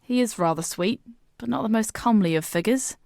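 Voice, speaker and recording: A woman's voice: mid-range voice, a little fast, gravelly, a little mellow, no echo, natural voice. Woman doing a cocky young pirate girl for a video game, salty sailor talk, bawdy n proud, really dry sound. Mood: cheeky. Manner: salty old-time sailor slang, swaggering. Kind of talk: video game character dialogue.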